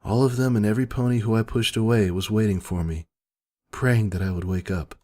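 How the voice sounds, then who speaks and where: A man's voice: fairly low pitch, steady tone, brisk, a little mellow. A man giving an earnest, solemn dramatic narration of a first-person story. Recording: background music.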